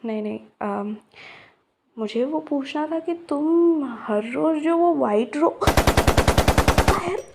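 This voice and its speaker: A woman's voice: sits high, lively intonation, slow pace, vocal fry, long pauses, very noisy. A young woman acting out a romance roleplay to a silent listener, teasing and chatty.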